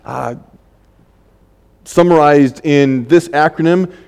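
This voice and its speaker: Halting older preacher in a reverberant church. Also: male voice, fairly high pitch, gravelly, darkish tone, smooth. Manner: conversational, halting, many fillers and restarts. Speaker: pastor. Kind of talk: sermon.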